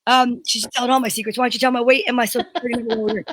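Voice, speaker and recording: A woman's voice: a little high, little pitch movement, very fast, no room sound. A woman co-host chatting casually, joking and poking fun at herself. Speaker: co-host and performer. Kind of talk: casual chat.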